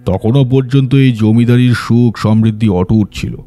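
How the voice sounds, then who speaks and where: A man playing a troubled zamindar who speaks in a measured, regretful way in an audiobook drama. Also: mid-range voice, steady tone, dark tone, soft background music, close to an everyday voice. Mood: sombre, confiding. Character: troubled zamindar, elder brother, recounting remorsefully.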